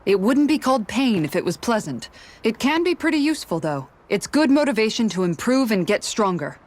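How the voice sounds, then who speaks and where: A woman's voice: on the high side, sing-song, brisk, darkish tone. A woman voicing a dry-witted, practical adventurer in a game, with a wry, matter-of-fact delivery. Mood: dry, instructive. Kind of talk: dubbed anime-style game voice acting.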